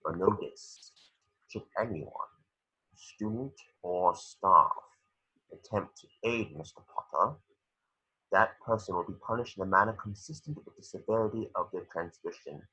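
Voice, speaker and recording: Man playing a deep, raspy, imperious headmaster. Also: sharp tone, heavily acted, poor audio. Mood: cold, menacing. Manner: deliberate, formal. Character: cold, imperious wizard headmaster, menacing and formal. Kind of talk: screenplay table read.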